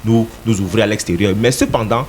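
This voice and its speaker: A man's voice: on the low side, fairly quick, darkish tone, a little hiss or hum. A man from Cameroon, a political party representative answering radio interview questions in an emphatic, insistent way, sometimes repeating words as he searches for them.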